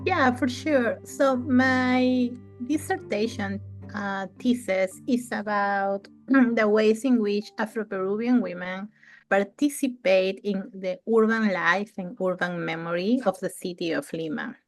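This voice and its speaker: A woman from Peru in her thirties, a guest researcher answering interview questions thoughtfully, with occasional laughs and throat clearing. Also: medium pitch, a little slow, soft background music, rough recording.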